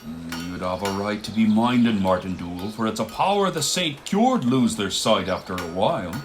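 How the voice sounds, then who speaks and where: Man acting a bossy blacksmith, swinging pitch. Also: lively intonation, normal speed, soft background music, close to an everyday voice. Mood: stern, contemptuous. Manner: scolding, commanding. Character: hard, bossy Irish village blacksmith man, impatient taskmaster. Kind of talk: theatrical radio play acting.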